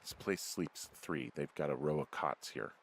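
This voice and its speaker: An American actor playing a wary, rattled fire lookout in a video game, with a halting, naturalistic conversational delivery. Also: male voice, rumbling low, slight background noise. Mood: wary, observant. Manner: halting, conversational, swearing under stress. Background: background music.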